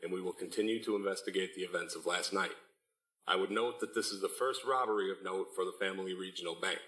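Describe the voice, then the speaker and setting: An actor playing a guarded male police detective making an official, measured statement to the press in an audio drama. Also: low voice, bright, forceful tone. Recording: echoey, noisy televised press statement.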